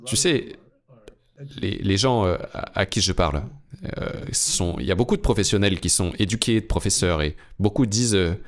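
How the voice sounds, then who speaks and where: Male voice-over for a guest in an interview: deep, low voice, earnest and advising. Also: expressive, normal speed. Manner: earnest, advising, repetitive phrasing. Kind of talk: translated interview answers.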